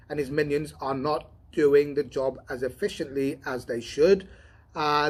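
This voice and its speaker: A man hosting a storytime, introducing and narrating a science fiction story aloud. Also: fairly high pitch. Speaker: storytime host and reader. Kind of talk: storybook reading with spoken introduction.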